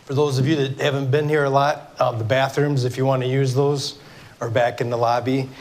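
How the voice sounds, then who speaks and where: A man's voice: mid-range voice, little pitch movement, brisk, a little mellow. A middle-aged man with a Michigan accent and a scratchy voice from a cold, running a town hall meeting in a loose, filler-heavy way. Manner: informal, many fillers and restarts. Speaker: mayor moderating a town hall. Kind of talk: public meeting address. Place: city hall meeting room. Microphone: microphone for televised recording.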